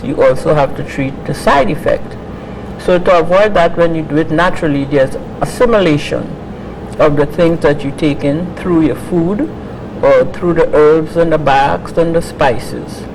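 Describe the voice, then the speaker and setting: A man's voice: fairly high pitch, moderate pace. A man advocating natural healing, explaining his views steadily in an interview. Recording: noisy background.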